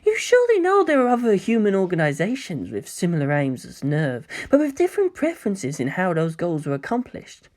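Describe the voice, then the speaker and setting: Man voicing a sly, softly mocking young angel villain, lilting. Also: high-pitched, huge pitch swings, fairly quick, few pauses. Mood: smooth explaining. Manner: coy, gentle, musing, theatrical.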